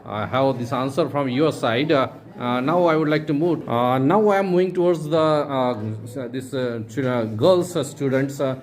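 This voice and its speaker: A man with an Indian accent moderating a classroom discussion as a teacher, halting often and repeating his phrases. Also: mid-range voice. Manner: halting, repetitive phrasing with many hesitations. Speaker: schoolteacher hosting a class discussion. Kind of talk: classroom question-and-answer moderating.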